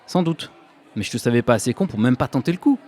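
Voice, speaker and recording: Man acting a cocky, quick-talking young schemer. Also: medium pitch, lively intonation, a little mellow, breathy edge. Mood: eager, cocky. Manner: breezy, slangy, persuasive. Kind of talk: French audio drama acting.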